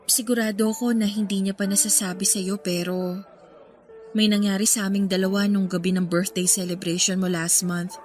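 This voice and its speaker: A woman's voice: medium pitch, little pitch movement, moderate pace, a little mellow, close to an everyday voice. A woman playing a reserved, self-deprecating young woman in a radio drama, hesitant and confiding. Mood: nervous, confessing. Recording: music behind the voice.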